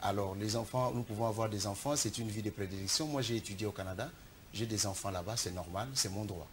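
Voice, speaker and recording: Earnest Congolese man, low voice. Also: fast, a little hiss or hum. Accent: Congolese French. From Democratic Republic of the Congo. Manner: defensive, earnest, speechmaking. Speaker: political candidate, interview guest. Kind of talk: studio interview.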